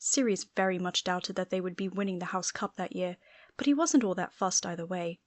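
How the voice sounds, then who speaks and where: A woman's voice: on the low side, fairly quick, dry recording. A woman reading scripted story narration as an audiobook narrator.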